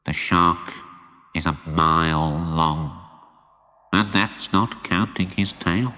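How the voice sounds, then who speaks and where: Doom-laden, cavernous philosopher fish. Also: male voice, sits low, bright, forceful tone, pitched below the performer's own voice, exaggerated voice. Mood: gloomy, resigned. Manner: slow, resigned, portentous. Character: gloomy, fatalistic philosopher tuna fish, male. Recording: long cavernous reverb.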